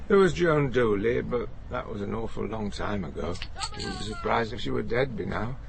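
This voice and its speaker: An actor playing a lonely, anxious, gentle older man, hesitant and plain-spoken, in a naturalistic radio play. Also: on the low side, expressive, normal speed, vocal fry. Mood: reluctant, puzzled. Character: lonely, anxious, gentle old man, reluctant local celebrity.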